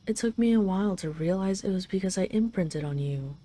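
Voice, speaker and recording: A woman's voice: medium pitch, animated, brisk, mellow, natural voice. A woman playing a possessive, doting dragon queen in an intimate roleplay audio, speaking in a teasing, tender, lingering way. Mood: tender, confiding.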